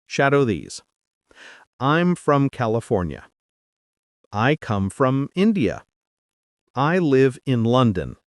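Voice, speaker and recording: Male podcast host teaching English: slow, clear, upbeat, lively pitch, dry close mic. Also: no echo. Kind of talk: scripted English lesson.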